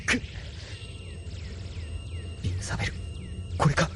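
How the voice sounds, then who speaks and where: A man's voice: normal speed, music in the background, lightly acted. A man playing a young, idealistic and hot-blooded robot pilot in an anime audio drama. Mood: strained.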